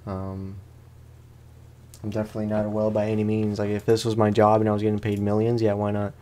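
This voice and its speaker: A man's voice: on the low side, monotone, fast, dark tone. A man giving casual let's play commentary as a gaming host, in a rambling, slangy, self-deprecating way.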